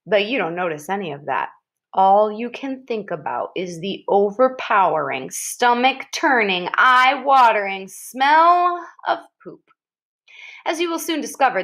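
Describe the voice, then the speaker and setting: A woman with a fairly high voice reads aloud in an expressive way. She stresses and stretches vivid words, as a teacher would when presenting an article to listeners. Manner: expressive, emphatic, drawing out key descriptive words. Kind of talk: expressive read-aloud of an informational article with brief asides.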